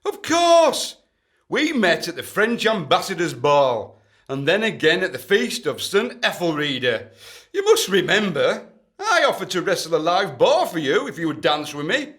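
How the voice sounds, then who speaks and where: An actor plays a pompous, amorous duke from a comic period radio play, his voice fairly high and very animated. He woos pushily, in florid and melodramatic declarations. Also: moderate pace. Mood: gushing, ardent. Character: pompous, amorous, pushy duke wooing a lady.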